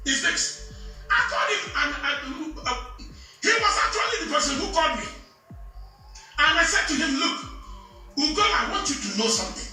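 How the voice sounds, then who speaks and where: A Nigerian man with an Igbo accent rants in a very high, piercingly bright voice. He is agitated, insulting and repetitive, and the recording is heavily echoed. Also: big pitch swings, moderate pace, pauses often. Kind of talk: heated informal rant. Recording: distant, echoey recording with music underneath.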